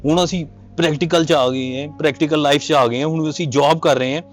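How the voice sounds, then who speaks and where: A man with a Pakistani Punjabi accent acting a soft-hearted, chatty friend in a casual amateur drama, speaking earnestly. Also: fairly high pitch, fairly even intonation, fast, faint music behind, natural voice. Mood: nostalgic.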